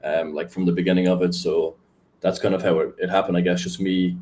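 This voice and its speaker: A man's voice: low voice, level tone, fairly quick, a little mellow. A young man, a podcast guest, chatting casually in a rambling way with many fillers. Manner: rambling, filler-heavy, laid-back. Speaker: guest, crypto community manager.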